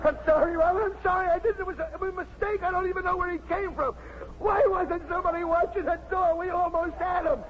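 An actor playing a harried, earnest police officer in a 1950s radio drama, urgent, insistent and given to stammering. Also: male voice, very high voice, steady tone, fast talker. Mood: agitated, dismayed. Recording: muffled, hissy 1950s radio broadcast.

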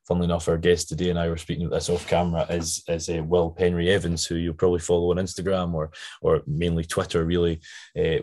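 Male podcast host, Scottish, notably low and a bit croaky, informal and prone to tangents. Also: a little mellow. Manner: chatty, rambling with frequent tangents. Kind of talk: casual podcast introduction.

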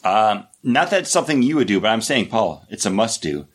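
Male podcast co-host, lowish voice, eager and rambling. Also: a little fast. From lives in Orlando. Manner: excited, filler-heavy storytelling. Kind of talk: casual chat.

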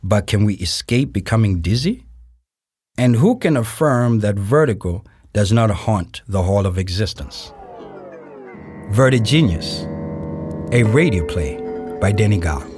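A man playing a grave narrator of a historical radio play, reading scripted narration in a measured, deliberate, formal way with pauses. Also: on the low side, lively intonation, darkish tone. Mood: solemn, factual. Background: music.

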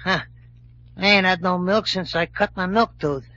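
Cocky, bright-voiced slangy stick-up gunman. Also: sits high, normal speed. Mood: smug, relishing. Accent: American. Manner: wisecracking tough-guy slang. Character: cocky, slangy hungry gunman, a small-time stick-up man. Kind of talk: old-time radio drama acting. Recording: dull old-time radio recording.

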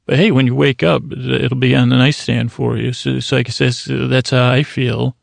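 A man with a heavily gravelly, fry-laden voice rambles through a self-deprecating monologue that is meant to lull listeners to sleep. His pitch stays flat and even. The recording is dry and close, with no room sound. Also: normal speed, no echo. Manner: meandering, self-deprecating, full of restarts. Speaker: sleep podcast host. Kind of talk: rambling monologue for falling asleep.

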